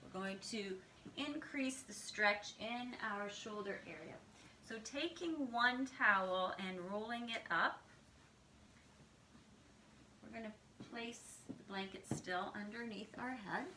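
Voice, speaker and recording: A woman's voice: medium pitch, takes it slowly, background noise. Woman yoga instructor in an echoey room. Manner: calm, instructive, step-by-step. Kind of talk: guided yoga class instruction. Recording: echoey room with music behind. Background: music.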